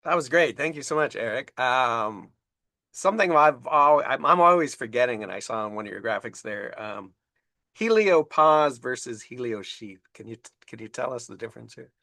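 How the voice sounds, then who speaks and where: Man, bright tense tone, dry close audio, upbeat host, video call. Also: medium pitch, lively intonation, dry recording. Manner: upbeat, quick affirmations. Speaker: webinar moderator. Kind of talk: moderating a webinar.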